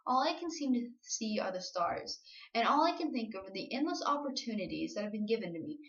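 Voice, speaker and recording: High-voiced woman reciting slam poetry, roomy sound. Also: animated, a little fast. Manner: earnest, emotional, confessional delivery. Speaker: slam poet. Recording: roomy, dull lo-fi home recording.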